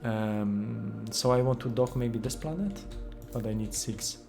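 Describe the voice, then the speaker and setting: A man with a Polish accent, a native Polish speaker, casually commenting on a game he is playing while thinking aloud with many fillers and pauses. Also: lowish voice, gravelly, music in the background. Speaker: game developer streaming gameplay.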